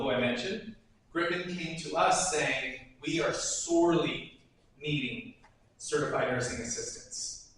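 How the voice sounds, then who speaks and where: A man who works as a school principal giving an upbeat, energetic presentation to a civic group, laughing often. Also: on the high side. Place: large echoey meeting room. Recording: very echoey room from a distance, faint noise.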